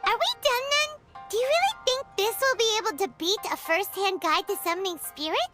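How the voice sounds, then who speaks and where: A woman voicing a tiny, bubbly, chatty fairy companion in a video game, with a high, squeaky, childlike voice. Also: lively intonation, normal speed, fairly bright tone. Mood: curious. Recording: game audio over background music.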